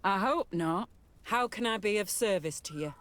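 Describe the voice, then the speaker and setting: An actress playing an honourable military commander in a video game, speaking crisply, formally and respectfully. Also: female voice, mid-range voice, expressive, normal speed, a bit bright, natural voice. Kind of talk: dramatic video game dialogue.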